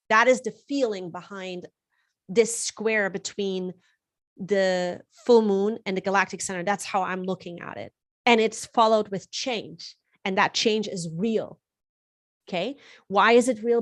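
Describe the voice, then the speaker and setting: A woman's voice: medium pitch, no echo, clean studio sound. A woman from Germany whose first language is German, hosting a live astrology forecast in a conversational way with frequent fillers and restarts. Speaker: astrologer host.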